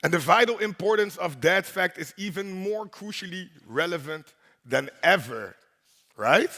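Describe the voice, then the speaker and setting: Deep-voiced man hosting in a hall. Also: lively intonation, measured pace, pushed and bright. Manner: playful, rhythmic, crowd-engaging with repeated "right?". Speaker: host and MC. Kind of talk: opening speech at a congress. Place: large conference hall. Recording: long hall reverb.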